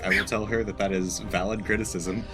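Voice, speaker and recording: A man's voice: lowish voice, flat delivery, a little fast, over music. A man chatting casually as a player in a tabletop role-playing game.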